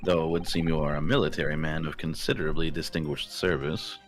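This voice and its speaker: A man voicing a self-assured gentleman detective with pompous, formal, theatrical delivery in an amateur game fandub. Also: rumbling low, fairly even intonation, quick pace. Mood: pompous, deducing. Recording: music playing behind the voice.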